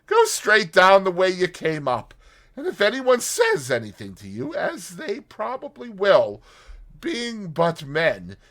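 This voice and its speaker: A man's voice: high voice, huge pitch swings, takes it slowly, fairly bright tone, pitched above the performer's own voice, heavily acted. A man voicing a teasing, bossy young woman with a giggly manner in a storybook. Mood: brisk, advising.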